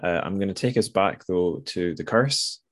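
Male podcast host, deep voice, dry close sound, informal and halting book talk. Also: lively intonation, no echo. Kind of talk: literary podcast conversation.

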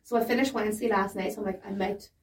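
A woman's voice: mid-range voice, steady tone, racing. A woman in her thirties chatting casually, swearing freely and laughing often. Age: 30s. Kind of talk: casual chat. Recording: roomy, from a distance.

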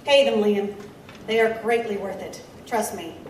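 A woman's voice: medium pitch, unhurried, recorded from a distance, natural voice. A woman playing an intrepid, confident Victorian newspaper reporter in an audio drama, assured and wry. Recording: roomy, off-mic, faint noise.

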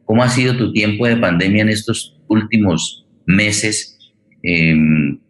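A man with a low voice hosts a forum, recorded with a clearly roomy echo. He builds long questions deliberately, halting often to find the next word. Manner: deliberate, halting, searching for words. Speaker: forum host, interviewer. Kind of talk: interview moderation, long spoken questions. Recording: roomy, echoing sound.

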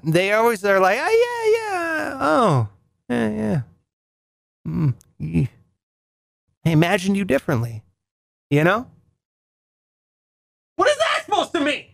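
Young guy host with a reedy nasal voice, really lively pitch, dry close sound. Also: dry recording. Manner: animated, mock-outraged storytelling. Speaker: radio show host. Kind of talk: comic monologue.

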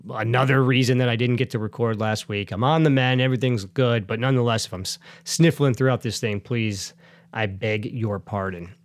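A middle-aged man talks straight into a close podcast mic, with no room sound at all. He rambles in a relaxed, chatty way, often correcting himself mid-sentence. He sounds a bit sniffly as he gets over a cold. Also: mid-range voice, a little fast, no echo. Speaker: podcast host. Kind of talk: casual solo podcast chat.

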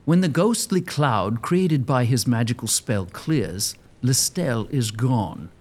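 A man narrating a scripted audio drama with suspenseful, deliberate emphasis. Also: medium pitch, lively intonation.

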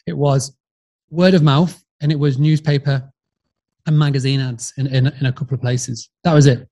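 A man's voice: mid-range voice, fairly even intonation, moderate pace, mellow. A man in his forties with a Yorkshire accent, a podcast guest telling his story in a friendly, chatty way, often restarting and repeating words. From England, Yorkshire. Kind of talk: casual storytelling interview.

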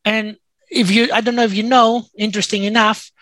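A man with a Greek accent, a native Greek speaker chatting casually in English, talking fast and telling stories with many "uh" pauses. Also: high voice, level tone, fairly bright tone, no echo. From Greece. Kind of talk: casual chat.

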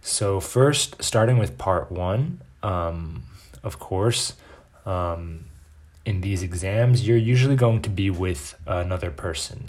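A young man with a very low, deep voice walks through exam tips in a relaxed, unscripted way. He talks like a friendly language assistant, with plenty of ums and filler words between his points. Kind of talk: casual exam-prep lesson to camera.

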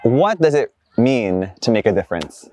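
A man's voice: mid-range voice, sing-song, moderate pace, dark tone. A young man hosting a casual in-person interview in a chatty, conversational way. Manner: chatty, frequent laughter. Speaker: host, interviewer. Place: outdoors, in person.

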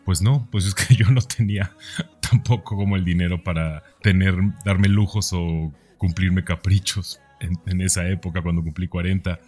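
Deep-voiced man, reflective podcaster. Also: fairly even intonation, moderate pace, darkish tone, soft background music. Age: 40s. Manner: conversational, introspective, often ending thoughts with a questioning tag. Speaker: podcast host. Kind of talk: reflective personal podcast monologue.

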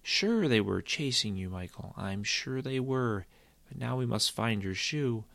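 A man's voice: medium pitch, expressive, moderate pace, creaky, fairly bright tone. A man voicing a worried mother scolding her young son, skeptical of his tale.

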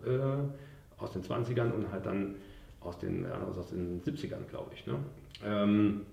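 A man discussing films casually, in a halting way, often ending statements with a short tag question. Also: lowish voice, gravelly, warm tone, lo-fi sound. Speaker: podcast host and military historian. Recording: echoey room, distant from the mic.

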